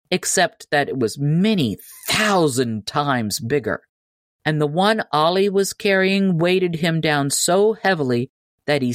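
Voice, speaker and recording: A woman's voice: low voice, no room sound, clean studio sound. A woman reading a children's tall tale aloud as scripted storybook narration. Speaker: audiobook narrator.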